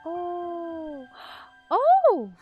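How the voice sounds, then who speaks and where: A woman streamer reacting live to game cutscenes with excitable exclamations. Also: very high-pitched, drawn-out words, muffled recording, soft background music.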